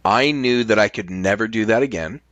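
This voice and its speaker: A man's voice: lowish voice, brisk, fairly bright tone, dry recording, dull audio. A man chatting casually as a podcast guest, reflective, posing rhetorical questions and swearing freely. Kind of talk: casual chat.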